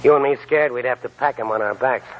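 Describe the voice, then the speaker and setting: An actor playing a young, plain-spoken prospecting partner in 1940s radio style. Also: male voice, mid-range voice, quick pace, lo-fi sound, natural voice. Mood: tired. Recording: hissy old 1940s radio recording.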